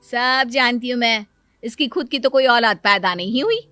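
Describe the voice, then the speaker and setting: A woman voicing a scheming, possessive, sharp-tongued mother in a cartoon moral story. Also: fairly high pitch, moderate pace, dry recording, studio quality, soft background music. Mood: indignant, scornful. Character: scheming, possessive elder sister-in-law and mother.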